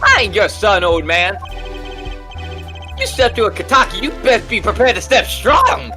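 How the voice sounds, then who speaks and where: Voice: medium pitch, wildly swooping pitch, moderate pace, pitched above the performer's own voice. An amateur performer voicing a belligerent young male gangster punk in a video game, threatening and puffed-up. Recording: loud music behind.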